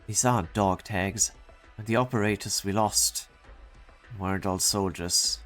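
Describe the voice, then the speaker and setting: Male actor as steadfast doomed veteran, deep pitch, measured, somber, game drama, light music bed. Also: a bit bright. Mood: somber, calm. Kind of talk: dramatic game story dub.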